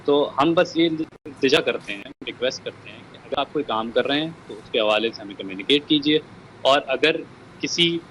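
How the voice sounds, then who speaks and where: A man giving a measured account and appeal as an interviewed relative, dropping in English words. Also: medium pitch, lively intonation, fairly bright tone, low-quality recording. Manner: code-switches into English words. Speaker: bereaved relative being interviewed. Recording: faint background noise.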